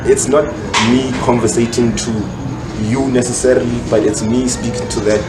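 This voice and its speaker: A man's voice: medium pitch, fairly even intonation, moderate pace. A South African man speaking casually and reflectively as a podcast guest, explaining himself at length and repeating words for emphasis. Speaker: guest musician, rapper. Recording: echoey room, loud background noise.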